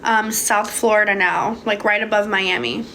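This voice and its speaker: A woman's voice: mid-range voice, steady tone, moderate pace, bright, forceful tone, slight background noise, rough recording. A young woman from Hungary answering viewers' questions about manifesting in a casual, swearing livestream chat. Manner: casual, swears freely, calls viewers babe. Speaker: manifestation coach. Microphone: phone.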